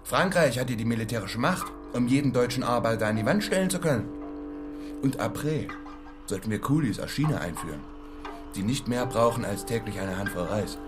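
A male actor as a cultivated, gluttonous gentleman of means in a radio play. He holds forth pompously and foolishly in a medium-pitched voice. Music plays clearly behind him. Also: expressive, fairly quick, lightly acted. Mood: pompous, smug. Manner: pompous, pontificating. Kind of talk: German radio play acting.

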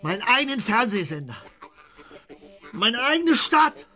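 A man's voice: very high-pitched, sing-song, measured pace, full character voice. An actor playing a vain, hot-tempered aging male country radio star in a radio play, bossy and theatrical. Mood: outraged. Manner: bossy, theatrical, bursts into outraged shouting. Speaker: Western Swing radio show host.

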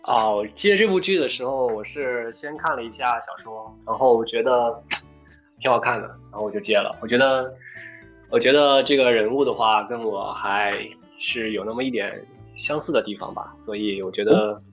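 A man's voice: normal speed, fairly bright tone. Young man on a dull online mic. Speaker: voice actor guest. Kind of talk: casual chat. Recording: dull low-fidelity online mic, music behind.

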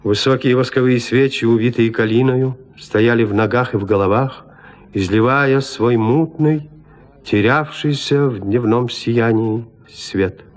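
A male narrator reads classic prose for an audio drama. His voice is medium in pitch, and he tells the story in a measured, composed way.

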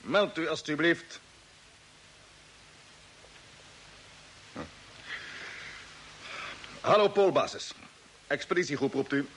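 A determined space expedition commander in an old radio drama. His voice sits a little high and swings expressively as he gives orders in an earnest, businesslike way. There is faint hiss on the recording. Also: animated, measured pace, fairly bright tone, poor audio. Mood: businesslike, searching. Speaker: actor playing the captain.

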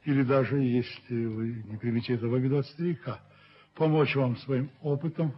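An actor playing a dignified older businessman in a radio play, formal, measured, courteous but firm. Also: medium pitch, steady tone, natural voice. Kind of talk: Soviet radio play acting. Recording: dull, hissy 1970s radio recording.

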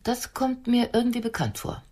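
An actress in a radio play playing a gentle, solicitous woman friend, doubting but shyly hopeful. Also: fairly high pitch, animated, moderate pace.

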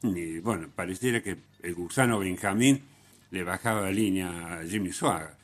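A man with a low-pitched voice and an Argentine accent presents music on the radio. He comments casually, rambling along and circling back over his words. His delivery is halting, often checking in with short tag questions. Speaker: radio host.

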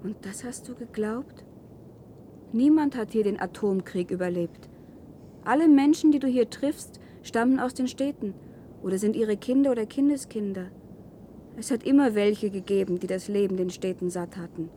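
A woman's voice: mid-range voice, normal speed, mellow, a little hiss or hum, lightly acted. An actress playing a defiant woman settler in a German science-fiction radio play, speaking in a pointed, challenging way. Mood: defiant, explaining. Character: defiant woman settler of an outlaw wilderness colony.

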